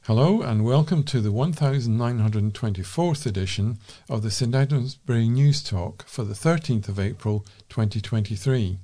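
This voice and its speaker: A man's voice: mid-range voice, normal speed, hardly any pauses, warm tone. A British man reading local news aloud as a volunteer newsreader.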